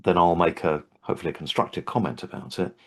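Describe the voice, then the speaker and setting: Low-voiced older man chatting. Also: fast talker. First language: English. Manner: thoughtful, hesitant with frequent restarts. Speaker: Havening trainer and host. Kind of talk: casual interview chat.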